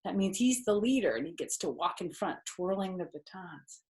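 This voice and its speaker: A woman's voice: fairly low pitch, animated, normal speed, a little mellow. An older woman reading a children's storybook aloud expressively, with explanatory asides.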